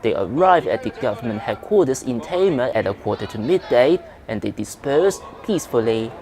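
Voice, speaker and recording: A man's voice: medium pitch, expressive, normal speed, a little mellow. A man reading a scripted news report as a correspondent.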